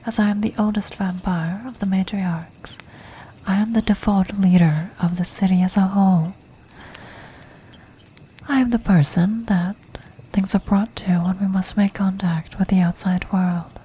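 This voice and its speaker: Woman as a slow, whispering ancient vampire countess. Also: medium pitch, a little hiss or hum, close to an everyday voice. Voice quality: soft, breathy, whispery. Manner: slow, formal, measured, regal. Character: ancient, commanding female vampire countess, poised and scheming. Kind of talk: ASMR fantasy roleplay.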